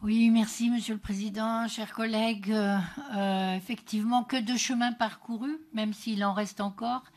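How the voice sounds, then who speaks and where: A woman with a French accent from France delivers a formal, prepared political speech as an elected councillor, in a measured manner with occasional stumbles. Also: a little high, steady tone, darkish tone. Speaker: elected metropolitan councillor, group co-president.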